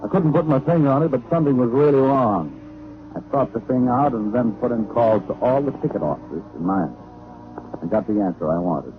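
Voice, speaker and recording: A man's voice: mid-range voice, expressive, fairly quick, over music, lightly acted. A man playing a wry, wisecracking American private detective in old-time radio drama, speaking with an American accent in dry, slangy hard-boiled style. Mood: wry narration. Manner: dry, sardonic, colorful slang. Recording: noisy, tinny vintage radio recording.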